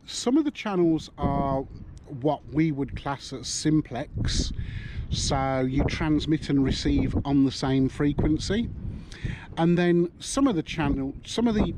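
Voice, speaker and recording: A man casually explaining a handheld marine radio, talking in a relaxed, halting way with many ums. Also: medium pitch. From United Kingdom. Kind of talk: casual product demonstration. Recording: loud background noise.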